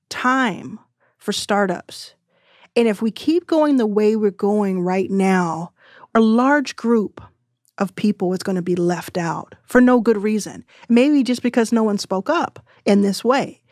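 A woman's voice: medium pitch, darkish tone, dry recording. Earnest woman in her thirties, dry close sound. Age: 30s. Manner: earnest, measured, explanatory. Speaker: guest investor. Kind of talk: reflective podcast interview.

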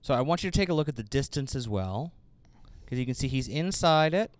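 A man's voice: mid-range voice, lively intonation, brisk, no room sound. A man co-hosting a casual product review, commenting and bantering in a joking way. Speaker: host of bowling ball reviews.

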